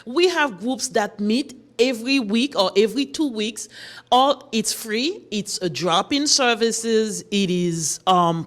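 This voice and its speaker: A middle-aged woman from Haiti, a nonprofit director, explaining her work earnestly in a morning show interview. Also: medium pitch. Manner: earnest, explanatory, with frequent restarts. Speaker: guest, nonprofit executive director. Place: TV studio.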